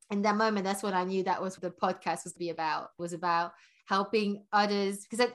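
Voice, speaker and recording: A woman's voice: mid-range voice, fairly bright tone. Chatty woman in her forties, laughing often. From Belgium. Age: 40s. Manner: chatty, laughs often, many fillers and restarts. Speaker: podcast guest. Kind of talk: casual interview chat.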